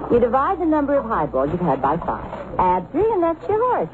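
Boozy, sardonic moll, old radio. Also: female voice, on the high side, wildly swooping pitch, brisk. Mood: boozy, teasing. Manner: sardonic, teasing. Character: boozy, sardonic gangster's girlfriend, worldly and bitter. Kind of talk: old-time radio drama acting. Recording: noisy narrowband old radio recording.

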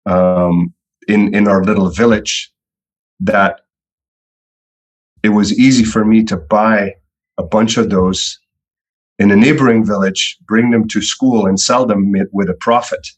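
Man from Belgium, middle-aged, lowish voice, relaxed storytelling, lots of repeated words. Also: a little slow, long pauses, poor audio. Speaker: entrepreneur guest. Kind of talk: podcast interview storytelling.

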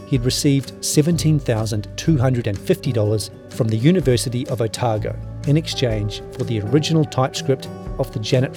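Kiwi guy doing podcast narration, mellow and measured, bit of music behind. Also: mid-range voice. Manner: measured storytelling. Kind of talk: scripted documentary narration. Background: background music.

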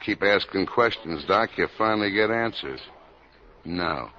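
Gruff, animated police captain, old muffled radio. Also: male voice, deep voice, a little slow. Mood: subdued, bitter. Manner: brusque, sardonic, streetwise. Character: gruff, sarcastic, hard-bitten widowed police captain. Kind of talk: 1950s radio drama acting. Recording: dull vintage 1950s radio recording.

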